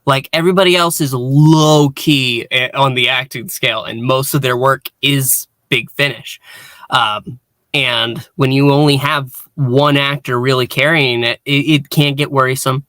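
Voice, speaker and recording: A man speaks as a podcast panelist in a casual review chat. His delivery is earnest and emphatic, broken by halting pauses. His voice sits at a medium pitch in a dry sound with no room to it. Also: steady tone, bright, forceful tone, no room sound. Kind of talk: casual fan review discussion.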